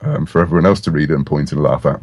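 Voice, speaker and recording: A man's voice: rumbling low, very fast, a little mellow, breathy edge, no room sound, clean studio sound. A man chatting casually as an interview guest, with a wry, self-deprecating manner. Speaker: interview guest, comics and audio writer.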